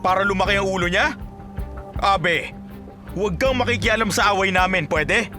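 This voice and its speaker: A man's voice: high-pitched, normal speed, music in the background, close to an everyday voice. A man playing a proud, irritable older father with a Filipino accent, grumbling defiantly in a radio drama. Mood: irritated, defiant.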